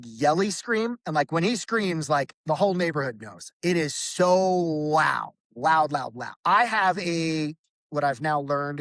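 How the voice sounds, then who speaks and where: A man with a fairly high voice talks as a podcast guest in a loose, rambling way. He keeps restarting his sentences and fills gaps with "like". The sound is dry and close, with no room echo. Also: fairly bright tone. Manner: rambling, with frequent restarts and fillers. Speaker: podcast guest, band vocalist. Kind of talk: casual conversation.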